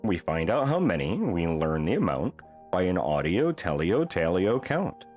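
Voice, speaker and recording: A man reading a rhyming children's bedtime book aloud as an expressive storyteller. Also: low-pitched, moderate pace, muffled recording, soft background music.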